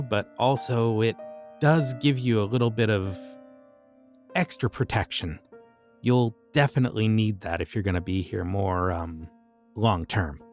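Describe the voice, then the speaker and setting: A man voicing a friendly but creepy young occult shopkeeper in an audio roleplay, talking in a casual, easygoing way about dark rituals. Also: lowish voice, natural voice. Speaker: voice actor.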